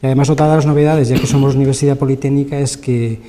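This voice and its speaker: Hesitant man, mellow voice. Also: medium pitch, steady tone. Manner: halting, filled with "eh" and "pues". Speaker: science week organizer guest. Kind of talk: explanatory interview answers. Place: local TV studio. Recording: reverberant studio sound.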